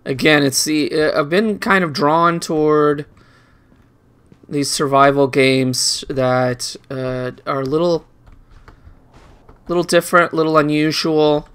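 A man's voice: fairly high pitch, steady tone, slow pace, a bit bright, smooth. A man giving casual video game commentary, rambling and muttering playfully to himself as he plays.

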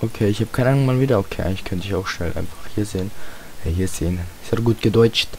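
A fourteen-year-old boy with a slightly hoarse voice, giving casual, rambling, self-deprecating gaming commentary in slangy speech. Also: fairly low pitch, fast. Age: 14, teenager. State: slightly hoarse, sore throat. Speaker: gaming video host. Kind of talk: casual Let's Play commentary. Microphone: home computer microphone. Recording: hissy home microphone with steady noise.

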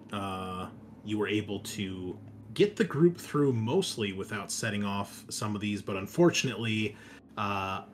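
A man game master recapping a tabletop session in a casual, conversational way, halting at times and leaning on descriptive words. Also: on the low side. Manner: casual, sweary, conversational asides. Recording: clear background noise, room ambience.

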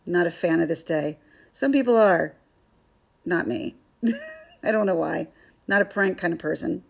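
A woman in her thirties, a vlogger and mother, chatting casually in a scratchy, hoarse voice, rambling and laughing often. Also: on the low side. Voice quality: scratchy, gravelly voice. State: hoarse from allergies. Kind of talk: casual chat to camera.

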